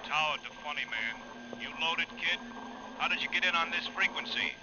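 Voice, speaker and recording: An actor playing a brash airfield tower operator over the radio in an old-time radio drama, with clipped procedural delivery. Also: male voice, a little high, normal speed. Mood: brusque, skeptical. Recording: muffled, noisy old radio recording.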